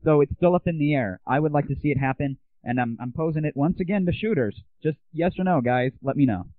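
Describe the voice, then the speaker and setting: A man's voice: fairly high pitch, quick pace, no room sound. A man hosting his own show, talking to listeners in a casual, chatty way. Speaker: radio show host. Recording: lo-fi dry recording.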